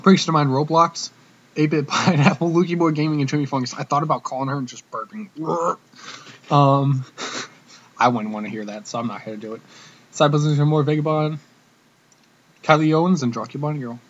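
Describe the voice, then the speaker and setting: A young man chatting casually to camera in a cocky, slangy, animated way, like a comedic vlogger. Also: on the high side, fairly quick. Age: young adult. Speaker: vlogger, prank caller. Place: small room indoors. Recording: dull webcam audio with noticeable hiss.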